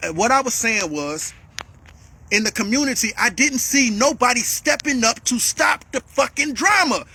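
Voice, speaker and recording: Animated high-voiced man ranting. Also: slightly rough. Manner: animated, repetitive, swings to shouting. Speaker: vlogger calling out a rival. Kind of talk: heated monologue.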